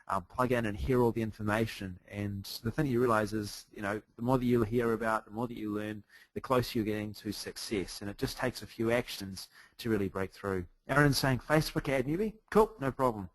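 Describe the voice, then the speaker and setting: A man's voice: mid-range voice, a bit bright, dry recording, rough recording. A man from New Zealand with a New Zealand accent, presenting a live webinar in an upbeat, chatty way with plenty of fillers, speaking from a hotel room over a computer mic. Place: hotel room late at night.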